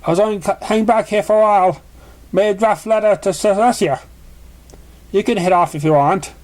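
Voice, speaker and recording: A man plays a friendly female unicorn pony from a fan story. The voice is pitched well up, chatty and a little rambling, with a worried, questioning manner. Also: brisk, darkish tone, lightly acted. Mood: casual, surprised.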